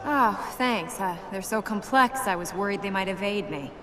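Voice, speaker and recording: A woman voices an earnest, sharp-witted princess in a game cutscene. She speaks at a middling pitch, with dry retorts and pointed questions. Music plays clearly behind her. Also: normal speed, natural voice. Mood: dryly exasperated. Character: proud, sharp-witted young princess, earnest and easily exasperated. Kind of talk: video game cutscene acting, conversational banter.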